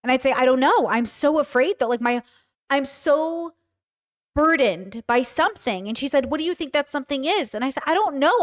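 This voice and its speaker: A woman in her thirties with a fairly high voice, holding forth to a live chat. She speaks bluntly and swears freely, and she keeps throwing questions back at her audience. Her commentary is unscripted and conversational. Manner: candid, profane, talks to her audience with rhetorical questions. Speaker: streamer. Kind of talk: livestream commentary, reading chat.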